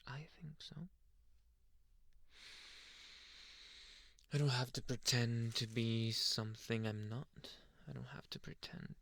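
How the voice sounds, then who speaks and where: A man voicing a young alpha werewolf, tender yet menacing and possessive, in an intimate ASMR roleplay that drifts between halting murmurs and whispers. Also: medium pitch, fairly even intonation, gravelly, fairly bright tone, no room sound. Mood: wistful, confiding. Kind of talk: ASMR roleplay addressed to the listener.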